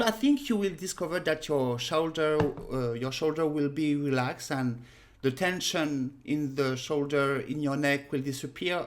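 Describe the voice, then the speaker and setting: A woman in her thirties with a French accent, teaching a casual lesson to a webcam in a small room at home, unhurried and full of fillers. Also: sits low. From France. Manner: unhurried, pauses with many fillers, direct. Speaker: harmonica teacher. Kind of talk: casual spoken lesson to camera.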